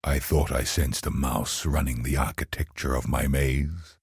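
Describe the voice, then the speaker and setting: A tormented, godlike older man from a video game, speaks in a very low, badly husked voice. His delivery is slow, solemn and theatrical, heavy with menace and sorrow. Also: steady tone, dry recording, clean studio sound, exaggerated voice. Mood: menacing. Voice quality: husky, gravelly, breathy. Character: tormented, godlike older male scholar, grieving father turned menacing. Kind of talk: dramatic scripted game monologue.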